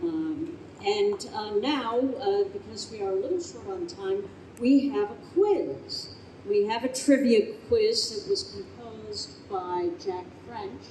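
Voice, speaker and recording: Slow, halting female event emcee. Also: expressive, dark tone. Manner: halting, hesitant. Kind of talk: informal live hosting. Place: convention hall. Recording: echoey hall, faint noise and rumble.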